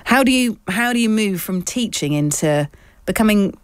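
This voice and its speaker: A woman's voice: mid-range voice, lively intonation, moderate pace, clear, resonant tone, no room sound. A woman hosting a radio interview, asking questions conversationally and often repeating words as she frames them. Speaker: radio host.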